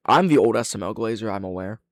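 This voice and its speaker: A man's voice: lowish voice, fast talker, no room sound. Young adult male reactor, frank and opinionated, sweary casual commentary, close dry recording. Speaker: reaction video host and reviewer.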